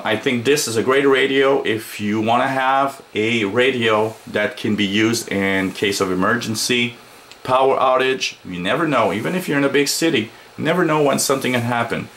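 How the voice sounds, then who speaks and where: A man giving a casual hands-on gadget review, explaining things plainly and haltingly as the reviewer. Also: medium pitch. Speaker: product reviewer. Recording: noisy home recording, steady background noise.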